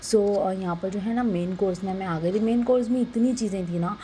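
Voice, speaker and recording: A young adult woman with a sore, slightly hoarse throat, chattily narrating a casual family vlog voice-over and mixing in English words. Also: mid-range voice, fairly quick, mellow, a little hiss or hum. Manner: conversational, mixes in English words. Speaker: vlogger narrating a family outing.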